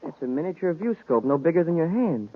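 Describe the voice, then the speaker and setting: An actor playing an eager, curious young space cadet, boyish and deferential, in a 1950s radio serial. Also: male voice, a little high, sing-song, quick pace. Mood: curious, excited. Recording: muffled vintage radio recording.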